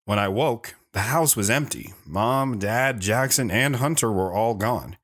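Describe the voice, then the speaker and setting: A man's voice: fairly low pitch, measured pace, a bit bright. A man narrating his own past as a scripted drama, telling it plainly while looking back.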